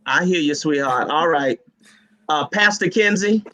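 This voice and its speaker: A man's voice: a little high, unhurried, bright, forceful tone. A man moderating an online panel conversation in a familiar, teasing and encouraging way. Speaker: host and moderator. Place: online video call.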